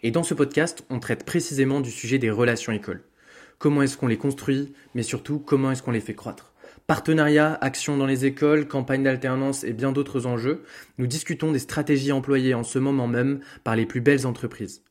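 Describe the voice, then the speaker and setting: A man hosts a podcast and interviews a guest in a loose, casual way. He hesitates often and repeats words, at a middle pitch for a man. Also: steady tone, fairly quick, darkish tone. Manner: hesitant, many fillers and repeated words when unscripted. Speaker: podcast host. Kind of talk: scripted intro, then casual interview.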